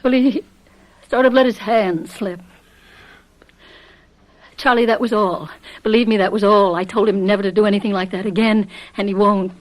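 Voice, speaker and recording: An actress playing a young, resigned farm wife in a radio drama, wary and pleading, with a hesitant, placating manner. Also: female voice, mid-range voice, fairly even intonation, moderate pace, long pauses, close to an everyday voice. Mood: anxious, pleading.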